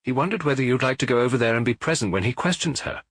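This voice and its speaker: A man voicing the detective's observant wife in an audiobook, answering in a brisk, matter-of-fact way. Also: mid-range voice, a bit bright, no room sound, pitched above the performer's own voice, lightly acted.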